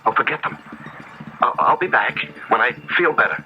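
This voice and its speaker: An actor playing a haunted, panicky novelist, agitated and stammering, in a 1970s radio drama. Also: male voice, mid-range voice, very animated, normal speed, creaky. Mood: anxious. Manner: agitated, stammering, breaking off and repeating himself. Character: haunted, panicky male novelist who feels followed. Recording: muffled, noisy 1970s radio recording.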